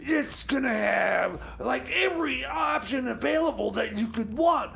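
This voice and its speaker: Very high, creaky-voiced stammering man. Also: expressive, unhurried, fairly bright tone, raised voice. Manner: hesitant, stammering, eager. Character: Jeb, flustered eager bunker sidekick full of schemes. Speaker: comedy radio co-host. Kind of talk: improvised comic banter.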